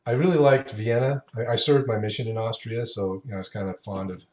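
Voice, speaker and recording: Man, late fifties, podcast guest, low-pitched, halting restarts, roomy echo. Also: fairly even intonation, darkish tone. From Utah. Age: 50s. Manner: unhurried, halting, with many restarts. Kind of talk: casual interview chat.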